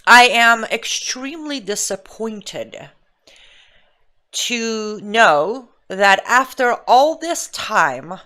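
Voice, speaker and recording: Forceful Iranian-accented woman commentator. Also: medium pitch. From Iran. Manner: emphatic, indignant, rhetorical questioning. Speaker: political commentator and show host. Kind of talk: live political commentary monologue.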